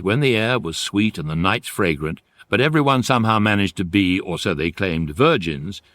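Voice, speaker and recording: An actor playing a wry guidebook narrator in a radio comedy, measured and deadpan in his storytelling. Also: on the low side, no echo.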